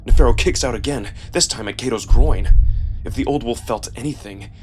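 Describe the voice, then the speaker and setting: A man reading a first-person story as dramatic, expressive scripted narration with a sense of suspense. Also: mid-range voice, brisk, a little hiss or hum. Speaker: narrator and performer.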